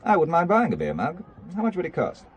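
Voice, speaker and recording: Wary amateur spy, sardonic, quick-witted, highly varied intonation, faint ambience, audio drama. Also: male voice, wildly swooping pitch, slight background noise. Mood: guardedly polite. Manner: dry, sardonic, hesitant when probing.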